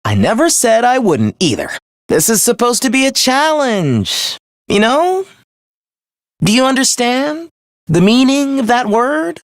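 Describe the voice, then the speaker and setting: A man's voice: very high voice, big pitch swings, unhurried, no echo, studio quality, exaggerated voice. A young man playing a smug, mocking villain in a video game, with a condescending, theatrical delivery. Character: taunting, smug young villain living in someone's head. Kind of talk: video game character acting.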